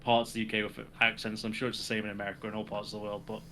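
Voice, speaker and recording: A man's voice: fairly low pitch, flat delivery, racing, pushed and bright, slight background noise, low-quality recording. A young British man co-hosting a podcast, talking casually about wrestling and laughing often. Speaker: podcast co-host.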